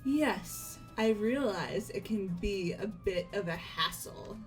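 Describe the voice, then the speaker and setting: A woman's voice: medium pitch, animated, slow, soft background music. A woman playing a haughty, demanding young lady with a formal, imperious manner, in a fan-voiced visual novel game. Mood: condescending. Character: haughty, demanding, coolly polite young lady who loves coercion.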